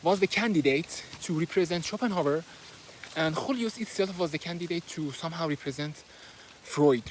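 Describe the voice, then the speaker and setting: Male book vlogger with a Persian accent who talks while walking outside: somewhat high pitch, hesitant delivery, recorded on a phone with light background noise. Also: moderate pace, low-quality recording. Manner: hesitant, with many fillers and restarts. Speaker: book reviewer. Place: outdoors in nature, walking. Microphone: handheld phone, no fancy equipment.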